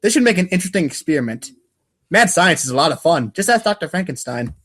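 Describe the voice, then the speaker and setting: Higher-pitched man reading wry narration. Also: sing-song, fast talker, fairly bright tone, breathy edge. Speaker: narrator of readers' theater show. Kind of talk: dramatic reading of webcomic narration.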